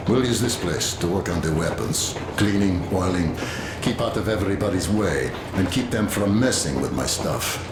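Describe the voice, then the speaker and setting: An actor playing a loyal, meticulous soldier and gunsmith in a video game, chatty and earnest. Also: male voice, low voice. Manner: earnest, chatty, fussy about gear. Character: loyal, meticulous male soldier and weapons tinkerer. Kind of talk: dramatic video game voice acting. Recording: roomy, clear train noise behind.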